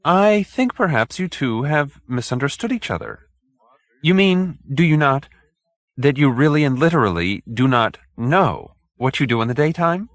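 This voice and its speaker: A man voicing a calm, tactful married woman in an audiobook, with a measured, clarifying manner. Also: a little high, dry recording, voice pushed up.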